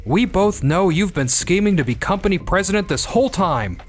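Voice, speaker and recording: An actor playing a shrewd, blunt company boss in a manga dub, with a pointed way of talking. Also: male voice, high-pitched, lively intonation, moderate pace. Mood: probing, blunt.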